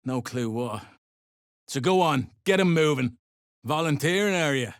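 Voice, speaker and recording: An actor playing a gruff, curt duergar slave-driver in a video game, full of coarse, threatening mercenary bluster. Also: male voice, fairly high pitch, animated, measured pace, no room sound, studio quality. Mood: impatient, coarse. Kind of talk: video game character dialogue.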